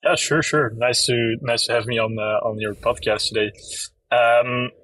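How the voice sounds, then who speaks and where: A man's voice: medium pitch, fairly even intonation, moderate pace, a bit bright, far from the mic, poor audio. A young man from Belgium with a Flemish accent, explaining his work in a casual interview with many fillers. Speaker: app company co-founder, guest.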